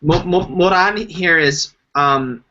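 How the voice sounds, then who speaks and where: A man's voice: on the high side, takes it slowly, sharp tone. A man hosting a casual discussion, framing questions hesitantly with many ums. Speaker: host. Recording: dull lo-fi live stream audio.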